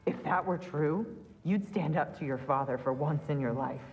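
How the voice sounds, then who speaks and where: A woman's voice: deep voice, moderate pace, darkish tone, big-room sound, soft background music, poor audio. An actress playing a worried queen who speaks earnestly and firmly to her family. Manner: earnest and firm.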